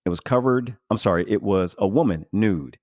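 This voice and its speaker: Low-voiced man, rambling podcast host. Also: expressive, moderate pace, a little mellow, dry recording, clean studio sound. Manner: rambling, many fillers and restarts. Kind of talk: casual podcast chat.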